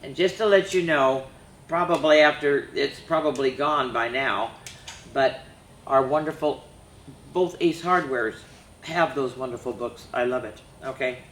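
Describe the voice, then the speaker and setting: A woman's voice: rumbling low, measured pace, echoey room, a little hiss or hum. A woman reading a rhyming picture book aloud to children, with chatty asides between pages. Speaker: children's storyteller.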